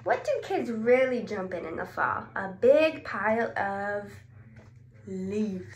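A woman in her twenties reading a children's picture book aloud expressively, asking questions and answering them. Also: mid-range voice. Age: 20s. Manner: expressive, exclaiming, asks questions and pauses before answering. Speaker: speech therapist. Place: small indoor clinic room. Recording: roomy echo, clear background noise.

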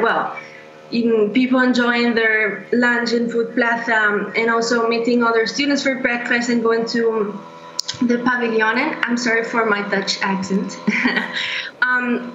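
A woman's voice: on the high side, fairly bright tone. A young woman, a student guest speaking over a webcam video call, chatty and laughing easily as she answers. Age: young adult. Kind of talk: casual interview answers. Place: indoor room at home. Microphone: laptop or webcam mic on video call. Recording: echoey lo-fi video call with hum.

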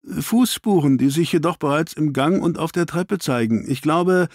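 A man's voice: a little high, lively intonation, moderate pace, darkish tone, no room sound, clean studio sound, voice pushed up. A man reading an audiobook, voicing an earnest, bashful young police constable who reports a little hesitantly. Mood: earnest, tentative.

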